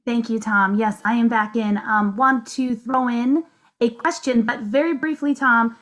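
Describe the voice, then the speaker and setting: A young woman hosting a virtual conference session, moderating questions in a friendly, relaxed way from an office. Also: a little high, a little slow. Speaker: event host, moderator. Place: office, indoors. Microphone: video call.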